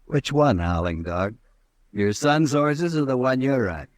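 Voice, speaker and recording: Cast a man as a swaggering young cavalry officer for a vintage radio western, lively pitch, dry sound. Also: measured pace, dry recording, lightly acted. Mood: taunting. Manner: swaggering, mocking, laughs at others. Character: brash young army lieutenant, cocky and taunting. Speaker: cavalry lieutenant. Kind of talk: 1950s radio drama acting.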